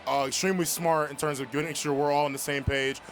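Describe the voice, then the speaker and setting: A man's voice: a little high, level tone, fast talker, a bit bright. A man, a football player, giving a casual interview answer. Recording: noisy urban setting.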